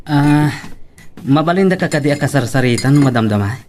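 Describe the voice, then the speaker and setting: A man's voice: mid-range voice, lively intonation, fairly quick, darkish tone, lightly acted. A man with an Ilocano accent playing a casual buddy chatting with his partner in an audio drama. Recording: clear noise with clinking tableware.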